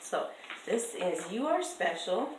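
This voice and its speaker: A woman reading a children's picture book aloud in a measured, lilting storytelling way, drawing out stressed words. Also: on the low side, sing-song, slight background noise. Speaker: storybook reader. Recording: echoey room with music behind. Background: music.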